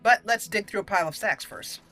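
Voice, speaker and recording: Gamer lady doing roleplay commentary, bright dry sound, kinda theatrical and haughty, music under it. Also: mid-range voice, moderate pace, rough recording. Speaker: gaming host. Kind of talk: roleplay game commentary, speaking in character and narrating.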